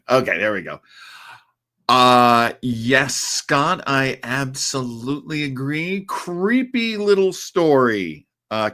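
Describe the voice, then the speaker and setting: A man's voice: mid-range voice, bright, forceful tone. A man hosting a livestream with casual, conversational commentary, rambling and a little hesitant. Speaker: livestream host. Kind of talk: casual commentary on old radio.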